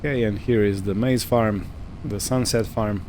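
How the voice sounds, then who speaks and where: A man giving casual roleplay gameplay commentary, unhurried and thinking aloud as he goes. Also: lowish voice, fairly even intonation, darkish tone, a little hiss or hum. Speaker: gaming video host.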